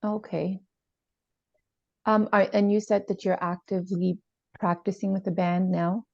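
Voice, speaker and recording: A woman plays a calm female therapist with a creaky, mellow voice. She checks in gently and hesitantly, as in improvised role-play. The video-call sound is roomy. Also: mid-range voice, measured pace. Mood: attentive, supportive. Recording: slightly dull, roomy video-call audio.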